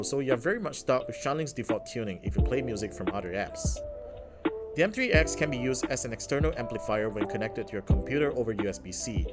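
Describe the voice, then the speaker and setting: A man talks through a gadget's features in an upbeat, casual review style at a mid-range pitch. Clear background music plays under his voice the whole time. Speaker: tech reviewer.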